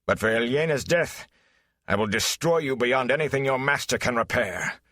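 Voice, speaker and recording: A man's voice: mid-range voice, lively intonation, moderate pace, bright, forceful tone, dry recording, studio quality, pitched above the performer's own voice. A man playing an anguished, proud and wrathful lord in a fantasy audiobook, speaking in a solemn, dramatic way. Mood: vengeful. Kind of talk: fantasy audiobook character acting.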